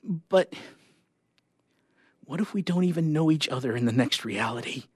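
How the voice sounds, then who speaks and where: A man's voice: on the high side, lively intonation, moderate pace, darkish tone, husky, no room sound, clean studio sound. A man voicing an anxious, hesitant scientist wife pleading in a dramatic short story.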